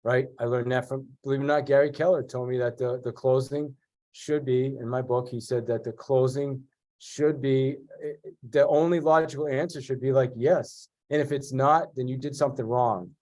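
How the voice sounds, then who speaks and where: A man's voice: mid-range voice, normal speed. A middle-aged man with a congested voice from a cold, speaking directly with lots of restarts as an interview guest. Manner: direct, energetic, with many restarts. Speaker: guest real estate agent and sales trainer. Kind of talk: conversational interview.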